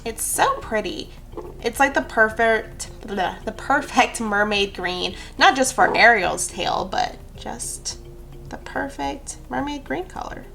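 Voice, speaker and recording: A woman's voice: fairly high pitch, slow pace, vocal fry, a bit bright, faint music behind. A woman hosting a nail art tutorial, talking through each step in a chatty, rambling way with easygoing asides about herself. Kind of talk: casual how-to narration.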